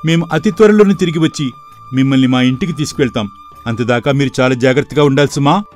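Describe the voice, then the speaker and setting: A man voicing an earnest, excitable young village brother in an animated moral story, with emphatic delivery. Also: medium pitch, fast talker, close to an everyday voice. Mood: eager, earnest. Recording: voice over background music.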